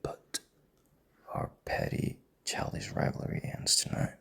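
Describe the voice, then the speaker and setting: A man playing a proud, stubborn king in an intimate audio drama, commanding, with breathy, gravelly half-whispers. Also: unhurried, fairly bright tone, close to an everyday voice. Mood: bitter. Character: proud, stubborn, commanding young king, bitter rival husband.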